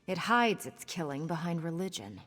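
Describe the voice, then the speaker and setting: Roguish female pirate for a fantasy game: sardonic, lowish voice, reverberant hall with music behind. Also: big pitch swings, normal speed, fairly bright tone. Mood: bitter, matter-of-fact. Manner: sardonic, conspiratorial. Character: scheming roguish female pirate, sly and cynical. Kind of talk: video game character dialogue.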